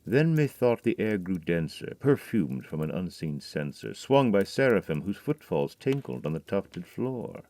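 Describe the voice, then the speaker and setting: A man performs a grieving, haunted man in a radio-style audio drama. The voice is very deep and recorded close and dry, with no room sound. The delivery sinks into hushed brooding, then rises into loud, pleading cries. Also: very animated, measured pace, few pauses, no room sound, full character voice. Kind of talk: dramatic poetry reading.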